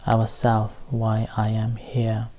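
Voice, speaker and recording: Slow, mellow male meditation teacher. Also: fairly low pitch, completely flat delivery. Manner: halting, measured phrasing with long pauses. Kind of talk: guided meditation talk.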